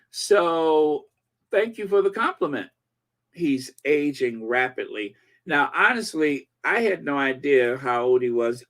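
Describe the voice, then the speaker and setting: Slow, rambling man hosting a channel. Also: fairly high pitch, a bit bright. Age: 40s. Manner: rambling, slow, lots of fillers and pauses. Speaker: channel host. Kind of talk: casual commentary reading viewer comments.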